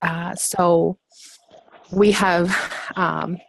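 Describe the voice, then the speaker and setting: A woman's voice: on the low side, little pitch movement, drawn-out words, vocal fry, low-quality recording. A woman of thirty, chatty and giggly, telling her story with many fillers. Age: 30s. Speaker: panelist sharing her business story. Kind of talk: casual personal testimony.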